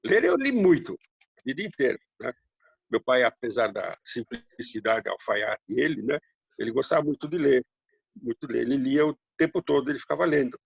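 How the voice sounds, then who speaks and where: Older man, gravelly, heavy rolled R. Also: medium pitch, very animated, dry recording, muffled recording. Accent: slight Hungarian-tinged Brazilian Portuguese, heavy R. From Brazil, raised in São Paulo. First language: Hungarian. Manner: unhurried, rambling, frequent tag questions. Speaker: guest writer. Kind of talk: casual interview storytelling.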